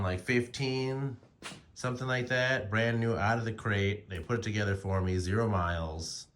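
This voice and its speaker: American man, forties, lowish pitch, casual vlog delivery, slangy, conversational, rambling. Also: fairly bright tone. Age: 40s. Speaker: motorcycle vlogger.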